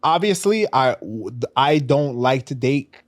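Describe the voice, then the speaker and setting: A man's voice: medium pitch, expressive, measured pace, no echo. Man, 30s, New York accent, podcast co-host, emphatic and lecturing, dry close sound. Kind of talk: casual chat. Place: podcast studio.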